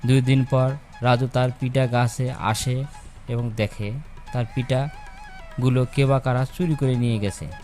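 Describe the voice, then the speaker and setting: A man tells a children's story in a slow, scripted narration. His pitch is medium, and soft music runs behind him. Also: steady tone.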